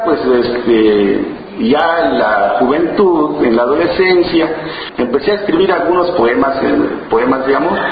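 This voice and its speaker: A man from Oaxaca whose first language is Zapotec, a poet who tells his story haltingly, with many fillers and restarts, and reads his own poems. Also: mid-range voice, very echoey, in a large hall, dull audio, noisy background. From Juchitán, Oaxaca, Mexico. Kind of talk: slow reflective interview and poetry reading.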